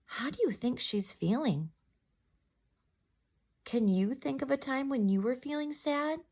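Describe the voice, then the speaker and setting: A woman's voice: sits high, lively intonation, unhurried, darkish tone. A woman storyteller reading a children's picture book aloud expressively, addressing young listeners directly. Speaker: storyteller, host.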